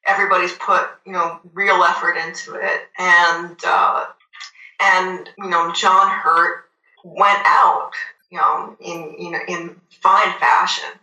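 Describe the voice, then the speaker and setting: A woman's voice: lowish voice, flat delivery, slow pace, sharp tone. A woman chatting casually about film and TV as a podcast co-host, emphatic and repeating phrases for stress. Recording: roomy, echoey voice recording.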